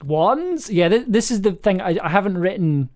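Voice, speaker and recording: Live stream host, mid-range voice, informal chat, halting and repetitive phrasing. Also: medium pitch, animated, darkish tone. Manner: rambling, halting, often repeats words. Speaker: stream host and audio drama writer.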